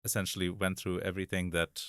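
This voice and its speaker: A man hosting a podcast, introducing the episode and his guest in a relaxed, friendly way with frequent ums. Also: low voice, fast talker, no echo, studio quality. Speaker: podcast host. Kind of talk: casual podcast conversation.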